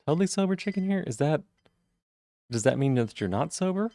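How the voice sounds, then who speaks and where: A man streaming live, chatting casually with viewers and greeting them by name, with a rough voice today. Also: very animated, fairly quick, dark tone, dry recording. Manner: friendly, greets chat members by name, jumps up in pitch on greetings.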